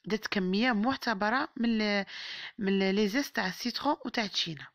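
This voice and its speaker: A woman with an Algerian Arabic accent and a hoarse voice, chatting to viewers as she narrates a home baking tutorial. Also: medium pitch, fast talker, dry recording, clean studio sound. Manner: chatty, warm-hearted blessings and advice to viewers. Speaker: cooking channel host. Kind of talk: home cooking tutorial voice-over.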